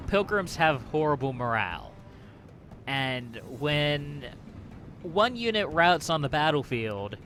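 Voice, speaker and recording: A man's voice: mid-range voice, a bit bright, a little hiss or hum. A man giving casual let's play commentary on a video game, thinking aloud in a wry, resigned way. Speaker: gaming channel host.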